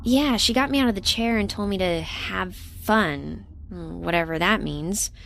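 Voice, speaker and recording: Earnest young space intern, emphatic. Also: female voice, mid-range voice, unhurried, fairly bright tone. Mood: agitated. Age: young adult. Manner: informal, emphatic, youthful phrasing. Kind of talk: naturalistic audio drama acting. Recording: audio drama with clear background ambience.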